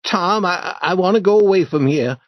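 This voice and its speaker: A man's voice: on the high side, expressive, unhurried, dry recording, pitched above the performer's own voice. A man doing a jittery, stammering boy. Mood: nervous. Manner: hesitant, stammering. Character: nervous timid boy, easily frightened. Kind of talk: storybook reading. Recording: dull dry lo-fi recording.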